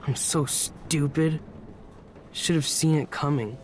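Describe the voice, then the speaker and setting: A man's voice: fairly high pitch, fairly even intonation, slow pace, slight background noise. An actor playing an earnest, self-blaming young American male student in a video game, modest and downcast. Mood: dejected.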